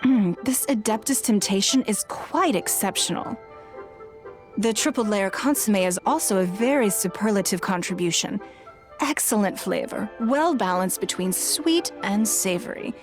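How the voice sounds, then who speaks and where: A woman's voice: medium pitch, animated, normal speed, soft background music, close to an everyday voice. An actress playing a poised, dignified woman of authority in a video game, speaking formally and with measure. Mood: approving. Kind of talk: video game cutscene voice acting.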